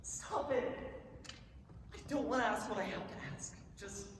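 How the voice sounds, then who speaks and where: A woman's voice: mid-range voice, measured pace, lightly acted. A woman playing a distraught, accusing wife in a stage melodrama, demanding and confrontational. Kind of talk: stage melodrama within a comedy. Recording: echoey hall from far off, noisy.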